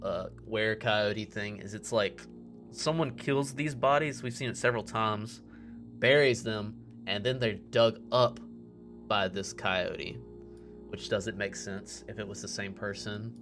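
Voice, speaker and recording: A man's voice: fairly low pitch, measured pace. A man chatting casually with friends as a player in a tabletop game. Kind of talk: casual chat among friends. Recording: music playing behind the voice. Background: music.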